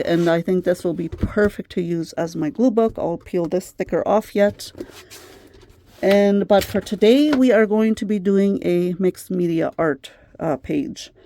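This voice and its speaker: A middle-aged woman hosting a craft vlog who chats gently with plenty of fillers, her voice slightly worn as if she is losing it. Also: on the low side, slight background noise. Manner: gentle, chatty, many fillers. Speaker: craft channel host. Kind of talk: casual craft vlog chat.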